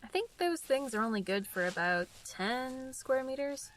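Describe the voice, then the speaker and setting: An actress as a dry, practical young companion. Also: female voice, high voice, lively intonation, normal speed, slight background noise, rough recording. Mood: curious, hushed. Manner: dry, matter-of-fact, slightly prim. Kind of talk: radio drama acting.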